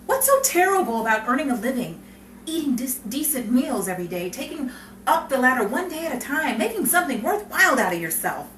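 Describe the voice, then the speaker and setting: A woman's voice: high voice, sing-song, fairly quick, fairly bright tone. A woman playing a sensible, stern elder sister who works as a bank clerk in a 1950s crime melodrama, with formal, indignant phrasing. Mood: scolding, exasperated. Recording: echoey, distant, noisy room recording.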